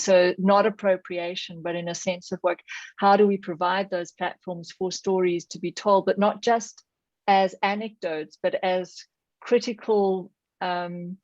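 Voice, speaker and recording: A South African woman speaks over a video call, her voice dull and a little boxed-in in a dry, deadened room. She gropes for words as she goes, restarting her phrases again and again. Her pitch sits in an ordinary middle range. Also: a little mellow, dry recording. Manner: searching for words, many restarts. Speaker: audience member asking a question. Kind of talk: online seminar question.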